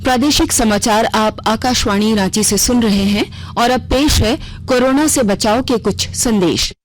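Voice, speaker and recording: A woman's voice: on the high side, moderate pace, soft background music, low-quality recording. A woman reading a scripted regional news bulletin on the radio as the news reader.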